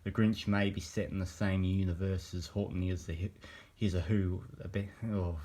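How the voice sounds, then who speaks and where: A young man with a very deep voice, made croaky by a cold. He rambles along in a casual phone-camera vlog, pausing often to find his words. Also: level tone, dark tone. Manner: hesitant, meandering, many pauses. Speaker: vlogger. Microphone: handheld phone camera.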